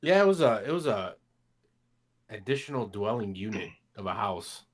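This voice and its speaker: A man's voice: on the low side, big pitch swings, moderate pace. A man on a podcast panel, talking in an informal, conversational style. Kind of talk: casual chat.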